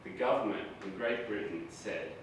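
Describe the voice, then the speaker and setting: A man's voice: fairly low pitch, normal speed. A man with an Australian accent giving a university lecture, chatty and self-deprecating, often asking the audience questions. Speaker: guest lecturer. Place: large lecture hall. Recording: echoey hall, voice from far off, noisy.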